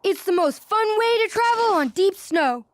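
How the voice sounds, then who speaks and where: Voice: very high voice, animated, moderate pace, dry recording. An actor voicing a friendly, helpful, outdoorsy country kid in a children's cartoon, chatty and eager. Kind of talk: cartoon voice acting.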